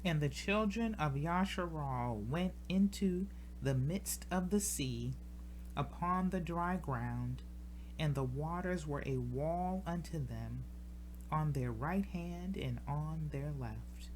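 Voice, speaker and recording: A woman reads scripture aloud in a solemn, measured style, pausing deliberately between short phrases. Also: deep voice, lively intonation, darkish tone, a little hiss or hum, rough recording. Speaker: scripture reader. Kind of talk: solemn scripture reading from the King James Bible.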